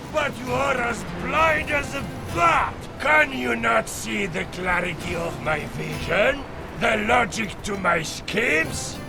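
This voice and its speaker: An actor playing a vain, scheming megalomaniac count in a video game, declaiming in a bombastic, grandiose way. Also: male voice, extremely high pitch, vocal fry, exaggerated voice. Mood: defiant, ranting. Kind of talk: theatrical video game villain acting.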